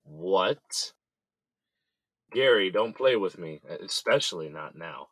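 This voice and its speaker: A man's voice: deep voice, lively intonation, takes it slowly, fairly bright tone, slightly rough, no room sound, heavily acted, low-quality recording. A man playing an indignant, desperate company boss in jail, in an audio drama. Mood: angry, indignant.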